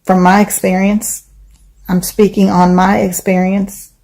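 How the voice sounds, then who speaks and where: A woman's voice: mid-range voice, level tone, measured pace, a little mellow. A woman giving blunt, teasing opinions in a casual social media video. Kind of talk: casual commentary.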